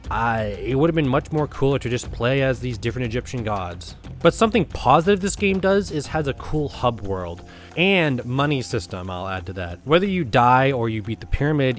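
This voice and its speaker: Man casually reviewing a game over music. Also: medium pitch. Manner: blunt, rambling, conversational. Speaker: host of a video game review show.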